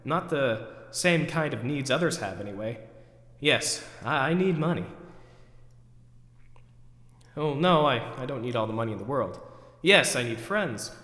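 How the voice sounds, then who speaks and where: A man's voice: medium pitch, lively intonation, normal speed, pushed and bright. A man acting out the theatrical internal monologue of an overwrought, neurotic man who questions himself, recorded in an echoey hall. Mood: searching, unsure. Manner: ranting, then talking himself down. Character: overwrought, neurotic man agonizing over forgotten groceries, self-questioning. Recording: echoey hall with long reverb.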